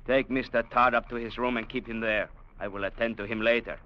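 An actor playing a brutal, bullying captain in an old radio drama, menacing and mocking, barking orders. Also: male voice, mid-range voice, fairly even intonation, brisk, lightly acted. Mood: curt, cold. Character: brutal, bullying sea captain ruling a jungle trading post. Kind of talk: 1940s-50s radio drama acting. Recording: muffled old radio recording, faint noise.

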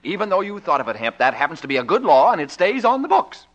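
A man's voice: a little high, big pitch swings, fast talker, a bit bright. A man acting a smug, stubborn, needling small-town mayor in a 1950s American radio comedy, pompous and scornful. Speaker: radio comedy supporting actor. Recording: muffled old radio recording.

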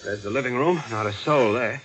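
A man playing a scheming young nephew in a 1940s radio drama, measured and dramatic. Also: medium pitch, a bit bright, close to an everyday voice, low-quality recording. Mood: puzzled. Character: scheming young nephew, dutiful yet coolly accusing. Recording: vintage radio recording with music behind.

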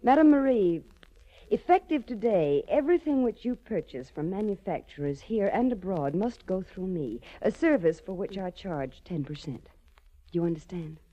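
A woman's voice: medium pitch, lively intonation, moderate pace, lightly acted. An actress playing a cool, self-assured female crime boss in a 1940s radio drama, poised, haughty and coolly seductive. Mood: imperious, confident. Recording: muffled 1940s radio broadcast recording.

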